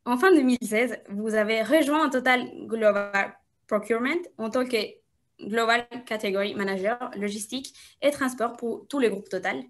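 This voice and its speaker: Woman, interviewer, fairly high pitch, halting delivery, reading a biography aloud. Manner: halting, frequent hesitation fillers. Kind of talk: reading a guest's biography at a live event.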